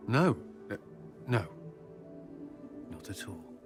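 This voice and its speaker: A man's voice: fairly low pitch, sing-song, slow pace, loud music behind the voice. An actor playing a reserved, awkward military commander in his thirties in fantasy game dialogue, earnest and a little hesitant. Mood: nervous, tender. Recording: game audio with background ambient noise.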